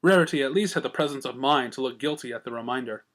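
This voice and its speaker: A man's voice: mid-range voice, fast talker, a bit bright. A man reading scripted fan fiction as a storyteller. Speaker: story reader.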